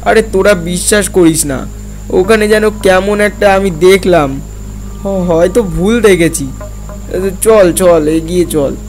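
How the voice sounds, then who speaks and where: High-voiced, timid village man played by actor. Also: takes it slowly, darkish tone, soft background music, low-quality recording. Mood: uneasy. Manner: fearful, hesitant. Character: timid, superstitious village man scared of ghosts. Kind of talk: horror cartoon dialogue.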